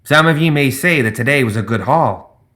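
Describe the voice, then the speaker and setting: A man performs a grandiose little gremlin who captains sky pirates in a fantasy audiobook. The voice is pushed high and loud. He declaims pompously, like a born speechmaker in love with monologues. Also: expressive, moderate pace, natural voice. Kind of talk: dramatized audiobook character voice.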